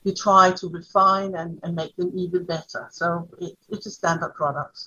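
Middle-aged Danish woman guest, halting, dull lo-fi sound. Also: lowish voice, little pitch movement, sharp tone, slightly rough. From Denmark. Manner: halting, with fillers and repeats. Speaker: guest, brand founder. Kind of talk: interview answers.

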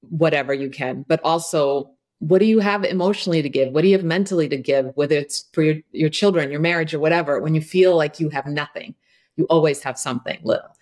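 A middle-aged woman from Croatia, a podcast guest talking candidly and openly, often saying "you know" and "like". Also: deep voice. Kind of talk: conversational interview.

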